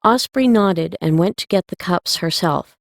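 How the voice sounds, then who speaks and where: A woman's voice: fairly low pitch, animated, unhurried, no room sound, clean studio sound. A woman narrating a scripted story as an audiobook reader.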